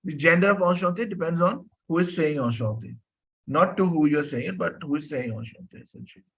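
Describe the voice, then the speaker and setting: A man's voice: a little high, a little fast, fairly bright tone, husky. Patient man teaching online, lo-fi audio. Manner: patient, repeats himself, asks students questions. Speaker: French teacher. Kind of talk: online class lecture. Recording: dull lo-fi audio.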